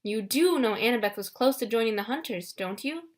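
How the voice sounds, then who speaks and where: A woman's voice: a little high, lively intonation, fairly quick, lightly acted. A woman voicing a vain, gushing goddess of love in a storybook reading, swooning and theatrical.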